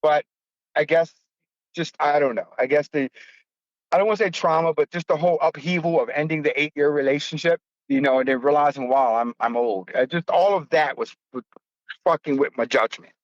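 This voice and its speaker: A man's voice: fairly high pitch, a little fast, dry recording, muffled recording. A man in his fifties from the United States, a podcast host telling a personal story in a blunt, profane, self-mocking way. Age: 50s. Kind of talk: casual storytelling.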